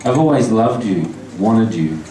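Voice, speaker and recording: An Australian man voicing a wistful, tempting woman in his story, softly and only slightly raised from his own voice. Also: lowish voice, expressive, a little slow. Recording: echoey pub from far off with noise.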